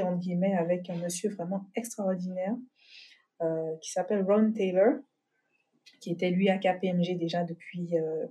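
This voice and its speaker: Ivorian woman, middle-aged, kinda husky low voice, lots of euh and restarts, chatty interview guest. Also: warm tone. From Côte d'Ivoire. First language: not English. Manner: thoughtful, many fillers and restarts, laughs easily. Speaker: podcast guest, company founder. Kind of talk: relaxed conversational interview.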